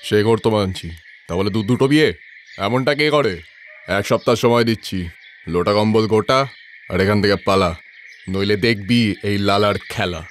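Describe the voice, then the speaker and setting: A man's voice: on the low side, moderate pace, pauses often, a little hiss or hum, lightly acted. A man voicing a bad-tempered, bossy landlord who scolds and threatens in a cartoon story. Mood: angry, threatening. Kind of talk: cartoon moral story voice acting.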